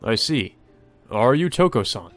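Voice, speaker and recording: A man voicing a serious, polite and evasive elderly gentleman in a visual novel. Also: medium pitch, sing-song, unhurried, soft background music. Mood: polite, flustered. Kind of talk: character reading in a visual novel.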